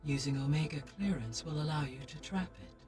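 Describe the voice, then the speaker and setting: An actor voicing a calm, formal artificial intelligence in a video game, speaking in a precise, measured way. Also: male voice, a little high, recorded from a distance, music in the background, natural voice.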